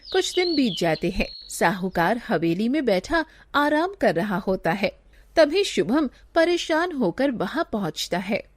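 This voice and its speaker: A woman giving scripted narration of a story as its narrator. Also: mid-range voice.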